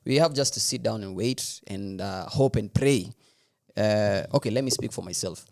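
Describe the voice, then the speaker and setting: A man's voice: fairly low pitch, moderate pace, creaky, darkish tone. A man co-hosting a podcast, chatting informally about sport in a teasing way. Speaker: podcast co-host. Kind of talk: casual sports chat.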